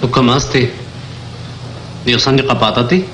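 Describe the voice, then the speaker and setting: Un hombre que interpreta a un vecino que pregunta en una radionovela. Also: male voice, mid-range voice, flat delivery, moderate pace, sharp tone, echoey room, slight background noise, close to an everyday voice, rough recording. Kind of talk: radio drama acting.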